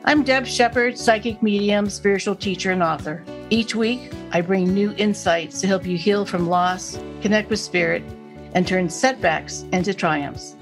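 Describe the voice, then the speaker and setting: A woman's voice: mid-range voice, moderate pace, music in the background. A woman hosting a spiritual podcast as a psychic medium, talking in a chatty way with frequent fillers and restarts. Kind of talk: casual podcast chat.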